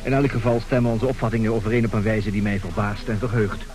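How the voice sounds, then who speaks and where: An actor playing a boastful, penniless adventurer and suitor in a radio play, with a grandiose, blustering manner. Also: male voice, on the low side, fairly even intonation, quick pace, crowd noise, natural voice. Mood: courting. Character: boastful, penniless adventurer and fortune-seeking suitor, melodramatic man.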